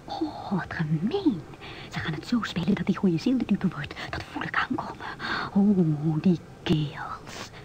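A woman's voice: fairly low pitch, expressive, unhurried, hardly any pauses, darkish tone, in a whisper. An actress playing an anxious, indignant woman muttering to herself in a Dutch radio drama. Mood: worried indignation.

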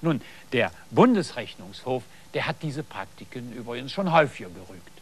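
A middle-aged man presents a TV hobby programme from a studio garden set. He talks straight to the viewers in a didactic, explanatory way. His voice sits at an ordinary pitch and is picked up by a lapel mic. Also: big pitch swings, moderate pace. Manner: didactic, addresses viewers directly. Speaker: TV host.

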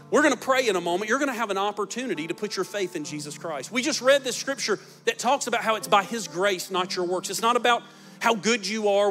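A man in his forties preaching a sermon as pastor on a large church stage into a handheld mic, swinging between loud emphatic lines and soft, low ones.

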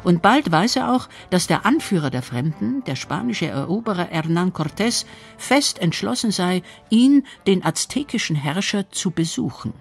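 High-pitched male documentary narrator. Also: big pitch swings, normal speed, creaky, husky, faint music behind.

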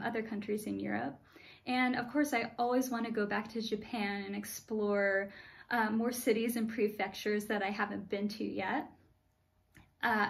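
A young American woman answering interview questions at home in a friendly, thoughtful way, with frequent "uh" fillers. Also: a little high. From United States. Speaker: interview guest. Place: small room at home.

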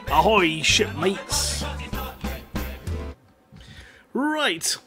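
Middle aged guy rambling on his stream, jokey, voice a bit high, faint music behind. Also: big pitch swings, very slow. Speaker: live stream host. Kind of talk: casual rambling livestream chat. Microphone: headset.

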